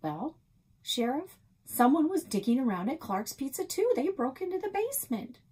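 A woman's voice: high voice, sing-song, normal speed, dark tone, voice pushed up. An American woman voicing a nosy, outspoken young girl in a storybook, eager and blunt. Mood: earnest. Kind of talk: children's storybook read-aloud.

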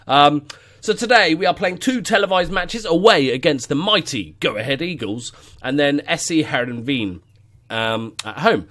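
Let's-play host, 30s, stuffy with a cold, a bit high, sweary and rambling at a home desk mic. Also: male voice, lively intonation, normal speed, fairly bright tone. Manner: sweary, self-mocking, rambling. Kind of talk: casual gameplay commentary. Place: living room at home. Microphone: studio mic on stand, headphones.

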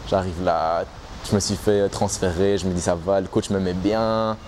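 A man's voice: low voice, steady tone, moderate pace, darkish tone, slight background noise. A young man, talking casually to the camera about his time as a basketball player, with many restarts and fillers. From Belgium. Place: outdoors in front of trees.

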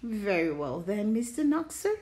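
Storybook fox, male, sly and playful, coaxing with a lively, swinging delivery woman performing. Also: medium pitch, normal speed, natural voice. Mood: teasing, insistent. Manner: coaxing, teasing.

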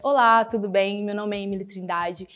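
A woman's voice: mid-range voice, fairly even intonation, normal speed, fairly bright tone. A woman, a model contestant giving a friendly self-introduction in a studio on a lapel mic. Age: 20s. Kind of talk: short self-introduction to camera.

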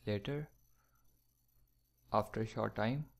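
A man teaching programming in a screencast, slowly dictating code step by step with fillers. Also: lowish voice, vocal fry. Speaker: programming tutor. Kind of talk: screencast coding tutorial.

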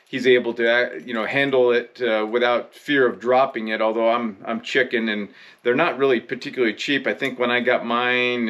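A middle-aged American man in his fifties presenting fishing gear in a casual, instructional vlog style, explaining in a halting, conversational way. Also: medium pitch, fairly bright tone. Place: indoor room with rod racks.

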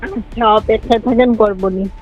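A Bangladeshi woman talks in a private phone call. Her voice is fairly high, and the recording is dull and lo-fi with music behind it. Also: steady tone, normal speed. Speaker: hospital cleaner.

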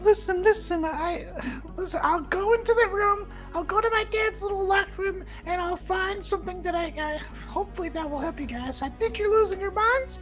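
An eager little schoolboy, with a very high, childlike voice. He rambles and pleads apologetically, in cartoon comedy style, over some music. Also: normal speed, hardly any pauses, a bit bright. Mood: eager, nervous. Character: small, eager, apologetic schoolboy, the principal's son.

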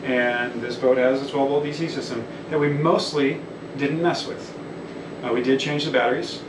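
A man's voice: mid-range voice, steady tone, moderate pace. A young man who installs marine electrical systems, explaining the setup on a boat in a technical, rambling way with many ums and restarts. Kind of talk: technical walkthrough interview. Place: small wooden boat cabin and engine room. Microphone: camera a few feet away. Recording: echoey cabin, distant mic, air conditioning hum.

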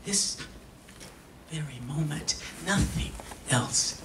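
An older man performing a dramatic reading on stage in a solemn, theatrical manner with long pauses. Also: fairly high pitch, expressive, a bit bright. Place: stage in an auditorium. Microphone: stand microphone heard from a distant camera. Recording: echoey hall, distant camera, faint noise.

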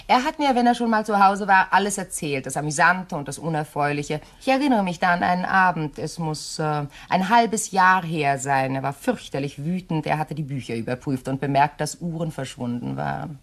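An actress playing a composed, shrewd widow in a German crime radio play, speaking in a measured, courteous and pointed way. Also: female voice, low-pitched, lively intonation. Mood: cool, veiled threat.

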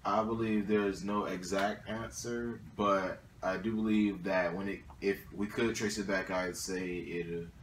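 A man's voice: low voice, fairly even intonation, unhurried, few pauses, a little hiss or hum. A young man talking casually in a discussion, hesitant with many fillers. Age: young adult. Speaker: student panelist. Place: small indoor room at a table. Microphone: far camera. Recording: echoey room from far off.

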